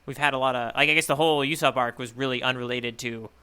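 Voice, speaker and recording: Bright, clear man thinking aloud on a podcast. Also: medium pitch, fairly even intonation, quick pace. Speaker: podcast co-host, newcomer reader giving theories. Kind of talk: casual podcast discussion.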